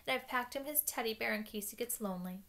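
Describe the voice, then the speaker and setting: A woman reading aloud voices a big soft-hearted male giant who dotes on a baby dragon. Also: on the high side, fast, pitched above the performer's own voice. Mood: doting. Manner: sobbing, doting.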